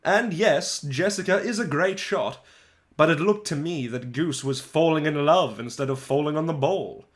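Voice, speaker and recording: A man voicing a chatty, wry eleven-year-old soccer-mad schoolboy in a dramatic storybook reading, conversational with animated asides and pauses. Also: a little high, natural voice. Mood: wry exasperation.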